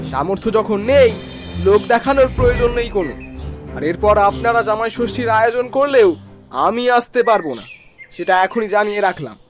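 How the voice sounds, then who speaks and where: Casting: scornful young cartoon son-in-law, sneering and hard to please, notably high, lively-pitched delivery. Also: male voice. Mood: condescending refusal. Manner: sneering, condescending. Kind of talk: Bengali cartoon voice acting.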